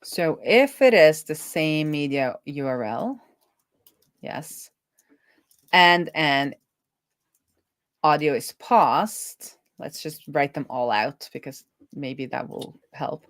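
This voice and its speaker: A woman hosting a casual live coding stream, thinking out loud as she works and often trailing off and rephrasing. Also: sits low, expressive, slow pace, dry recording, studio quality. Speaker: host, web developer live coding.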